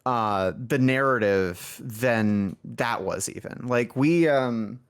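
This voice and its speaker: A man's voice: mid-range voice, slow pace, smooth. A man speaking as a game developer guest in a casual interview, rambling and often interrupting himself mid-sentence. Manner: rambling, self-interrupting, informal. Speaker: indie game developer and music lead, podcast guest.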